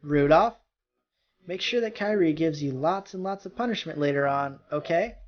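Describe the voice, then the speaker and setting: A man's voice: on the high side, lively intonation, moderate pace, dull audio, lightly acted. A man voicing a formidable middle-aged elder sister, composed and scolding, in an amateur visual novel reading over music.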